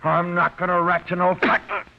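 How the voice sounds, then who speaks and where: A man's voice: high voice, completely flat delivery, normal speed, creaky. A man playing a cocky, streetwise small-time crook in a 1940s radio crime drama, with slangy, ungrammatical tough-guy talk. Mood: defiant. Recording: muffled 1940s radio recording.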